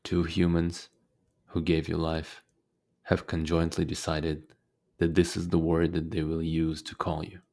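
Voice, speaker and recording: A man leading a guided meditation as a teacher, speaking calmly and deliberately with frequent pauses. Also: extremely low pitch.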